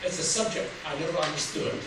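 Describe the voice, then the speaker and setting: A man's voice: on the high side, fast. Older Taiwanese man speaking in an echoey hall. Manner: humorous, laughs at his own jokes. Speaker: public speaker. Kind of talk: storytelling speech. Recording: roomy hall from far off, noisy.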